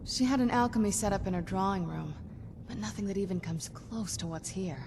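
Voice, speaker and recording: A woman voicing a shrewd, composed young female vampire necromancer in a video game, speaking in a measured, dry, musing way. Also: medium pitch, noisy background, close to an everyday voice. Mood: musing, intrigued. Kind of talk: video game character dialogue.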